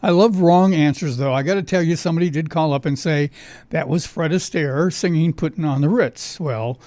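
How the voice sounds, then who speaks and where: A man with a slightly high voice hosts a casual oldies trivia program on the radio. He talks in a folksy, conversational way, and the sound is dry and close, with little room in it. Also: moderate pace, darkish tone, dry recording. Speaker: radio show host. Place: radio studio.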